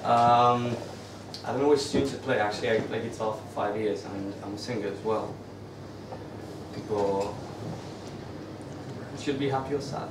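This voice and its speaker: A man's voice: lowish voice, steady tone, moderate pace, long pauses, noisy background. A young man from Russia, raised partly in Manchester and Israel, speaks hesitantly as a guest musician introducing himself in a pub. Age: young adult. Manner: hesitant, unsure, with short questions. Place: small crowded pub, indoors. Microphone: far camera, no mic. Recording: roomy pub echo, distant, loud chatter.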